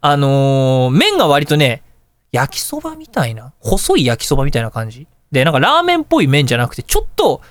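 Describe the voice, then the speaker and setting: A man hosting a radio show, telling anecdotes in a casual, chatty, animated way. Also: mid-range voice, fairly quick, dry recording. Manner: animated, chatty storytelling with colloquial asides.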